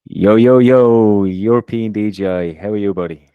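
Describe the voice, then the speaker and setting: A man's voice: lowish voice, moderate pace, clean tone, dry recording, narrow, tinny audio, faint music behind. A man co-hosting a podcast in a casual chatty way, often repeating words as he talks. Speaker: podcast co-host. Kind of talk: casual chat.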